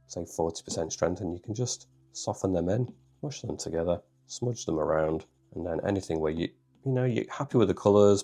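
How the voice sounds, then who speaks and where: A man with a bunged-up cold voice, calmly talking through a drawing tutorial step by step. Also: low-pitched, vocal fry. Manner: calm, reassuring, thinks aloud. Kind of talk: relaxed step-by-step tutorial narration.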